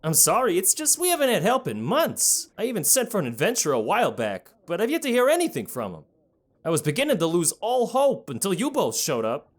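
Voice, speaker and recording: A man's voice: sits high, huge pitch swings, a little fast, exaggerated voice. A man playing a stout, disheveled orc mayor in an audio drama, earnest and pleading. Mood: relieved, desperate.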